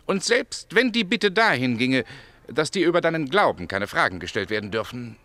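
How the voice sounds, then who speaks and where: Bright, swooping, imperious Roman emperor. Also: male voice, medium pitch, big pitch swings, normal speed, no room sound. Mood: grandly magnanimous. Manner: grandiose, condescending. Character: urbane, imperious Roman emperor, capricious and mocking. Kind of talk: German radio play acting.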